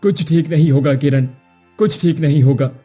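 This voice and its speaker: A woman's voice: low voice, normal speed, dark tone, breathy edge, faint music behind. A woman voicing a poor young woodcutter husband who is weary and pleading. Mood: despairing. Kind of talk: cartoon voice acting.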